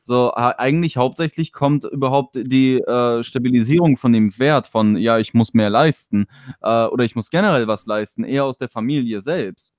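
A man's voice: mid-range voice, normal speed, darkish tone, no echo, studio quality. A male podcast co-host in casual talk, loose and colloquial with many fillers. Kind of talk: casual chat.

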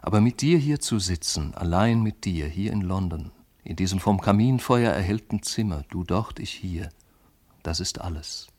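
An actor playing a lovesick, brooding man of letters in a literary radio monologue, speaking lyrically and intimately in measured phrases. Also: low-pitched, animated, a little mellow. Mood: tender, wistful.

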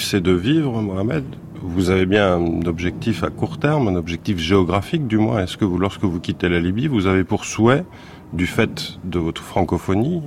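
A man interviewing a guest for a documentary, asking measured, formal questions. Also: sits low, a little mellow, a little hiss or hum.

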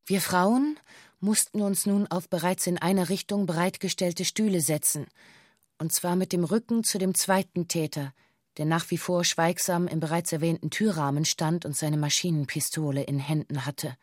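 A woman's voice: fairly low pitch, fairly even intonation, normal speed, a little mellow, no room sound, studio quality, close to an everyday voice. Subdued, matter-of-fact female witness, played by an actress. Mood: sober, factual. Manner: factual, detached, precise official phrasing. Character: female bank employee giving a sober hostage witness statement. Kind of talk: scripted documentary radio drama, formal testimony.

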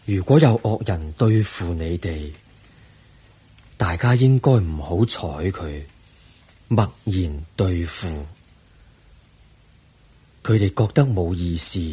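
An actor playing a serene, compassionate old Buddha in a radio drama, speaking gently and solemnly with measured delivery. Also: male voice, sits low, husky, heavily acted. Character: serene, compassionate old male Buddha giving final teachings. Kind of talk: Cantonese radio drama acting.

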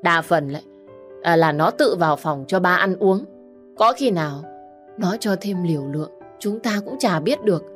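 A woman's voice: lowish voice, expressive, moderate pace, faint music behind, lightly acted. A woman voicing a middle-aged mother-in-law who slyly casts blame. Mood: insinuating.

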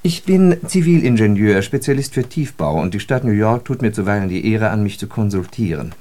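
An actor playing a courteous, worldly Parisian engineer telling an anecdote in a radio drama. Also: male voice, on the low side, a little fast, close to an everyday voice. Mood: animated storytelling. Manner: animated, courteous, worldly, pointed. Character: urbane Parisian male civil engineer, courteous raconteur. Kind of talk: radio drama monologue, storytelling.

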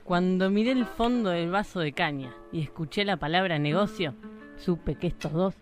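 A woman's voice: lowish voice, moderate pace, lightly acted. An actress playing a sly Argentine country woman who narrates and snoops, with a folksy, knowing way of talking. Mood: narrating. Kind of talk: live radio drama acting. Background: guitar music.

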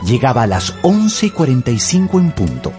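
A man's voice: medium pitch, huge pitch swings, normal speed. A man narrating a scripted radio drama, with music behind him.

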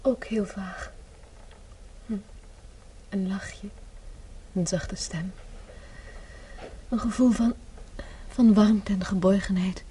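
An actress as a young woman with a soft-toned, dark voice at a medium pitch. She is reserved and quietly probing in a radio drama, recorded with noticeable background noise. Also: steady tone, slow, long pauses, lightly acted. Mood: quiet, wistful. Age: young adult. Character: composed, guarded young woman, probing gently.

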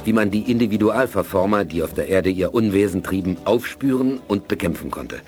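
An actor serving as the composed narrator of a science-fiction radio play, measured and articulate. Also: male voice, deep voice, expressive, darkish tone. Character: composed male storyteller of a science-fiction saga. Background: faint music.

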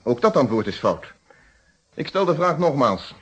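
A man's voice: mid-range voice, big pitch swings, moderate pace, breathy edge. An actor playing a blunt, probing police inspector in a radio play, stern and lecturing as he questions people. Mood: stern, formal. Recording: muffled vintage radio play recording.

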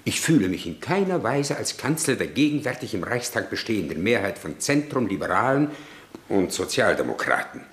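A man's voice: fairly low pitch, sing-song, brisk, fairly bright tone. An actor playing an old male chancellor who talks down to a friend in a radio drama. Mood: condescending. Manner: condescending, dismissive. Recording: roomy echo.

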